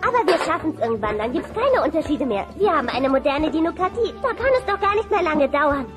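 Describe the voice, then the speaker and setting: High-pitched, plucky dinosaur schoolgirl. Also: very animated, fast, over music. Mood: determined. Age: child figure. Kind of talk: comedic audio play acting.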